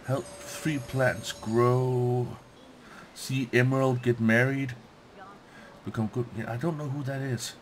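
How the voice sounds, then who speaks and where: A man hosts a casual let's play at an unhurried pace. He thinks aloud in a halting way, muttering to himself as he reads from the game. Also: mid-range voice, level tone, slight background noise. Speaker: gaming let's play host.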